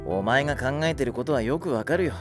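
A man's voice: mid-range voice, a little fast, faint music behind, natural voice. A man playing a sensible, wry teenage schoolboy, blunt and exasperated, in an anime audio drama. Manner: dry, blunt teasing.

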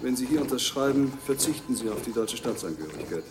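A coercive, menacing official in a radio play, acted by a man. He speaks from off the mic with lively intonation, amid clear vehicle-like noise. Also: mid-range voice, quick pace, recorded from a distance, natural voice. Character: smooth-worded menacing Nazi official demanding a signature. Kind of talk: radio drama acting.

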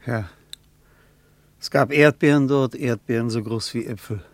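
A man's voice: medium pitch, unhurried, no echo, close to an everyday voice. An actor playing a plain-spoken German man on his travels in a radio drama, talking in a conversational, somewhat hesitant way. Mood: wistful. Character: plain-spoken German male traveller, wartime veteran, reminiscing.

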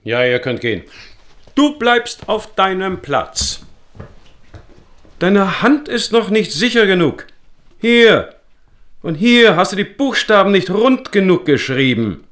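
A pompous, spiteful medieval schoolmaster in a radio drama. His voice sits very high and moves through wide swings of pitch. He is stern, haughty and goading. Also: sing-song, takes it slowly, long pauses, a bit bright, exaggerated voice. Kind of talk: German radio drama acting.

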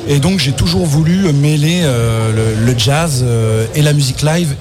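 A man's voice: fairly high pitch, measured pace, over music. A man in his forties, a musician guest in a live radio interview, talking hesitantly with many fillers and restarts. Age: 40s. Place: festival radio stand.

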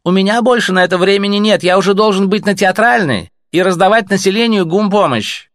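A man's voice: sits high, fairly quick, a bit bright, no echo, clean studio sound, voice pushed up. A man voicing a detached, formal officer who stays coldly courteous. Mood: curt. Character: cool, detached officer with a polite, bureaucratic tone.

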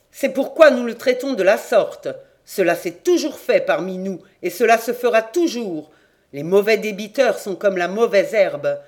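A reader voicing a rough man from a village who is harsh and callous. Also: medium pitch, lightly acted.

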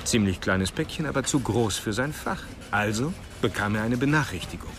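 A man's voice: fairly low pitch, animated, moderate pace. A man in a German radio crime drama playing a sardonic police detective who reports facts in a clipped way. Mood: dry, sardonic. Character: sardonic male police detective, brisk and factual. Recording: noisy outdoor traffic background.

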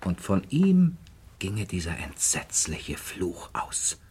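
An actor playing a sly crook telling a sinister tale slowly and ominously in a 1950s radio crime play, with a raspy, breathy voice. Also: male voice, low-pitched, huge pitch swings, a little mellow, heavily acted. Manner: slow, ominous, suggestive. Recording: old mono radio play recording.

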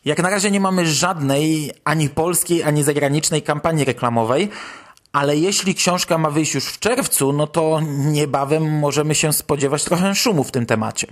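Guy hosting a podcast, slightly high voice, reading out news segments. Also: normal speed. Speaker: podcast host. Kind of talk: scripted news narration for a podcast.

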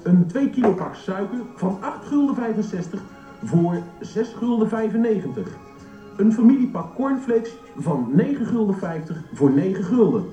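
Store announcer: high, salesy, upbeat, echoing intercom, radio play. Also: male voice. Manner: salesy, promotional. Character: upbeat, slick male supermarket intercom announcer. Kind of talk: advertising patter in radio play. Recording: dull echoey intercom over loud music.

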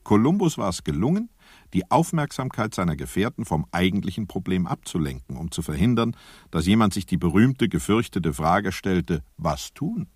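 Deep, husky male narrator. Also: dry recording, clean studio sound. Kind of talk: scripted narration of a radio play.

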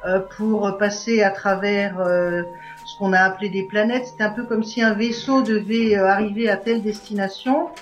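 A woman being interviewed in casual conversation, talking haltingly and searching for her words as an event organiser. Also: medium pitch, steady tone, soft background music. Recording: echoey, dull lo-fi room recording.

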